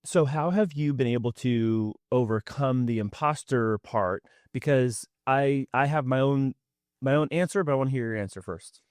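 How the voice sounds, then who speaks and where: A man's voice: medium pitch, normal speed, a little mellow, smooth, dry recording, clean studio sound. A man hosting a podcast interview, joking and rambling with frequent restarts. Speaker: podcast host. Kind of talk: conversational interview.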